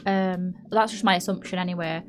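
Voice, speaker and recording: A woman's voice: on the low side, steady tone, fairly quick. A woman from the UK, narrating a game build in a rambling, unsure way, her voice slightly nasal from a blocked nose. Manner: rambling, self-doubting, lots of fillers. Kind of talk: casual chatty voice-over. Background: faint background music.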